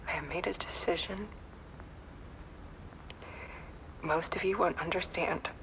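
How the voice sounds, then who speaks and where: An actress playing an exhausted, haunted woman photographer in a horror game, speaking in a hushed, halting, breathy whisper. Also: lowish voice, steady tone, background noise, close to an everyday voice. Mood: grim resolve. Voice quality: breathy whisper with creaky, sharp edge. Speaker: actor in a live-action game cutscene. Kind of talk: confessional monologue, horror game live-action acting.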